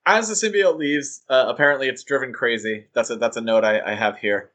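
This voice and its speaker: A man's voice: mid-range voice, a little fast, fairly bright tone. A man co-hosting a podcast, talking casually and joking with many fillers. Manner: chatty, joking, with frequent fillers. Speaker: podcast co-host.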